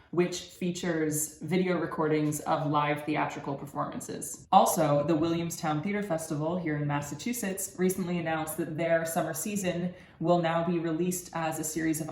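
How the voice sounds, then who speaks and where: A man in his early twenties giving a scripted research presentation as a student presenter. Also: a little high. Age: early 20s. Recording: roomy echo with background noise.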